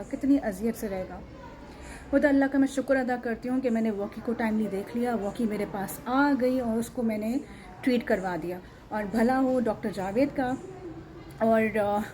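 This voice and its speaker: Pakistani woman, animal rescue vlogger. Soft dark tone, emphatic and repetitive delivery, English mixed in, slight ambient noise. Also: fairly high pitch, low-quality recording. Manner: earnest, emphatic, repeats phrases, mixes in English words. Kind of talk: casual vlog storytelling and advice to viewers. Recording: muted tone with background noise. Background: ambient background noise.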